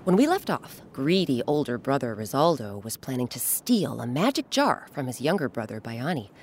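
A woman hosting and narrating a children's folktale in an expressive, dramatic storytelling style with pointed pauses. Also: extremely low pitch. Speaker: host and storyteller. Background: music.

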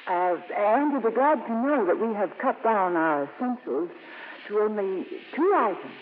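An actress playing an earnest, gentle nun in a 1950s radio drama, polite and eager with hesitant pauses. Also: female voice, mid-range voice, lively intonation, natural voice. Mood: earnest, hopeful. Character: earnest, gentle French nun, quietly determined and cheerful. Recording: noisy narrow-band 1950s radio broadcast.

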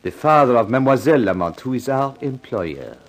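An actor playing an anxious, excitable French fashion designer with foreign phrasing and a French accent in a 1950s radio drama. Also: male voice, medium pitch, a little fast, faint music behind. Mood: grave. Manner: foreign phrasing, earnest, theatrical.

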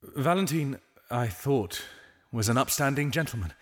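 An actor playing a formal gentleman testifying under oath in a fantasy audio drama, measured and declamatory. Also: medium pitch, lively intonation, lightly acted. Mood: solemn, formal. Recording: long echoing hall reverb.

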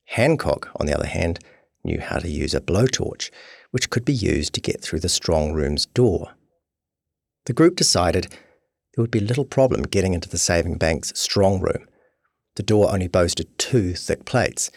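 Low-voiced New man narrating. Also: expressive, normal speed, creaky, no room sound, studio quality. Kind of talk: scripted narration of a long-read true crime story. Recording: music behind voice. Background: guitar music.

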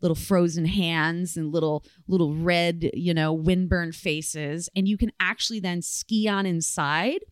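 Smooth, lower-voiced woman podcaster. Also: fairly even intonation, a little slow, few pauses, no room sound, studio quality. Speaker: podcast co-host. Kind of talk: casual chat.

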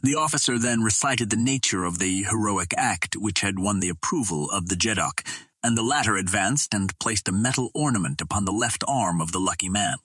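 A man's voice: fairly low pitch, a little fast, a bit bright, husky, dry recording. A man reading a first-person adventure novel aloud as scripted audiobook narration. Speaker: audiobook reader.

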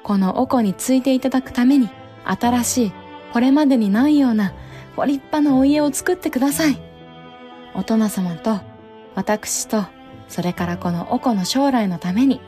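A woman's voice: fairly high pitch, normal speed, dark tone, soft background music, natural voice. A female actor playing a devoted, resolute young samurai wife and mother, speaking politely and formally in a historical audio drama. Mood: encouraging, resolute. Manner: polite, formal period speech.

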